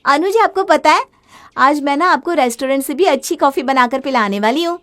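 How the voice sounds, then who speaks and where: A woman's voice: sits high, animated, a little fast, a bit bright. A woman voicing a headstrong young Indian housewife in a family story, exclamatory, complaining and defensive. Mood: eager, proud. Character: headstrong gadget-obsessed young Indian housewife, easily fed up. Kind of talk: dramatized Hindi family story.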